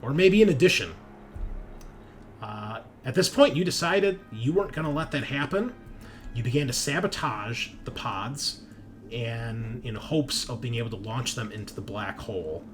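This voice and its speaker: A man narrating a tabletop roleplaying game as game master, in a conversational, halting way with emphatic swells. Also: mid-range voice, expressive, faint music behind. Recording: clear background noise.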